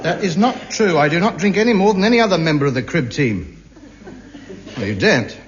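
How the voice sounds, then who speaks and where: A pompous, self-deluding man with a high voice holds forth grandly. His indignant bluster comes through a muffled old broadcast with steady hiss. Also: fairly quick. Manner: grandiose, indignant comic delivery. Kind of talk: 1950s radio sitcom acting.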